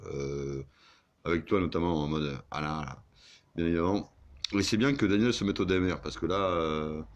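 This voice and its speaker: A man's voice: sits low, moderate pace, muffled recording. A man chatting casually as an amateur radio operator, with crude, joking, sweary banter.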